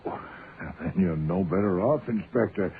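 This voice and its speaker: An actor playing a pompous, shrewd older private detective with formal, precise diction in a radio detective drama. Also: male voice, low-pitched, normal speed. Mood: probing, skeptical. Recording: lo-fi, noisy old radio recording.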